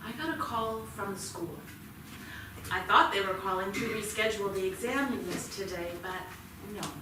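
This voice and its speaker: A woman's voice: mid-range voice, normal speed, close to an everyday voice. An actress playing a stern, worried mother of a teenage son in a staged play reading. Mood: tense, reproachful. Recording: echoey theatre, distant mic, clear noise.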